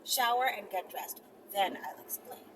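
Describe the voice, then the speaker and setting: A woman's voice: mid-range voice, measured pace, far from the mic, noisy background, close to an everyday voice. A woman voicing a domineering, scheming female character in a story, commanding and emphatic as she lays down rules. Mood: bossy. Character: domineering, scheming woman, coolly explaining her control.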